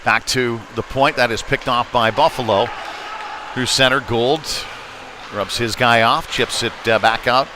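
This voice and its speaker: A man's voice: mid-range voice, steady tone, measured pace. A man doing live hockey play-by-play in a folksy, chatty way that lifts with the action. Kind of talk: live sports commentary with casual asides. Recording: noisy live rink ambience.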